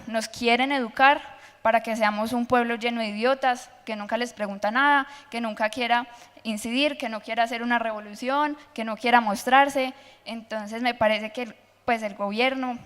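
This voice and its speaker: A woman's voice: fairly high pitch, fairly bright tone. A teenage girl speaking passionately and argumentatively as a panelist at a public forum. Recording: long hall reverb.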